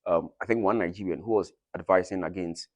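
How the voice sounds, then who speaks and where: A man's voice: low-pitched, a little fast, no echo. A young man hosting a casual interview, speaking hesitantly with frequent ums and restarts. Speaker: host.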